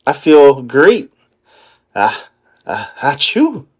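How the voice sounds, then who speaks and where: A man voicing a sick, nervous, excitable cartoon sea sponge in a read-aloud story, pleading and exclaiming. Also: medium pitch, extremely slow, exaggerated voice. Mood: weakly pleading.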